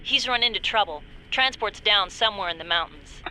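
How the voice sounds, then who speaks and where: Worried radio ally, bright voice, faint static. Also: female voice, mid-range voice, animated, rough recording. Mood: concerned. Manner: concerned, brisk. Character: concerned female ally on the radio, loyal and quick.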